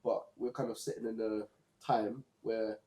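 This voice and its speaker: A man from Zimbabwe hosting a casual book discussion podcast, talking in a relaxed way with frequent restarts. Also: fairly low pitch, echoey room. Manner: relaxed, halting with repeated restarts. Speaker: podcast host.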